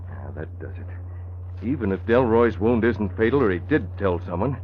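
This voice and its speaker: Resolute vigilante lead for old radio serial, low, clipped, composed, muffled and hissy. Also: male voice, big pitch swings, normal speed. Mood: brisk, resolute. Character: composed, resolute male masked crime-fighter. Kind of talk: 1940s radio acting. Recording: dull, noisy old radio recording.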